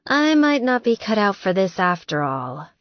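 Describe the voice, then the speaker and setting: A woman's voice: mid-range voice, big pitch swings, normal speed, dry recording, highs cut off, natural voice. An eager, good-natured young girlfriend, acted by a woman narrator in an audiobook. She is chatty and earnest, making fun of herself, in a very dry, close sound. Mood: weary, playful. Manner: earnest, chatty, self-deprecating. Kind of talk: audiobook character dialogue.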